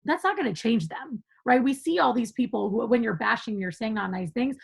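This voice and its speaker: A woman with a fairly high voice that rasps and crackles with fry, speaking as a podcast guest. She talks with passion and emphasis, pressing her points in relaxed conversation. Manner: passionate, emphatic, quick to laugh. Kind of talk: conversational interview.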